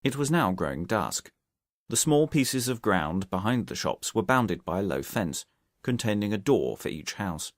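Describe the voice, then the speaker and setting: A male audiobook narrator reads detective fiction expressively. His voice sits fairly low and moves with lively intonation. The recording is dry and close, with very little room sound. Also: a little slow, no echo.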